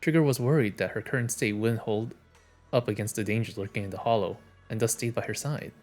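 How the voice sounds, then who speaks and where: A man's voice: lowish voice, fairly even intonation, fairly quick, a little mellow, soft background music. Male streamer reading game story aloud.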